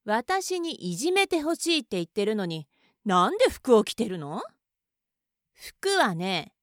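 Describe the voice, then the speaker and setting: A woman's voice: fairly high pitch, fairly bright tone, no echo, studio quality. A woman acting a contemptuous, domineering young woman in an adult audio roleplay, mocking and bossy.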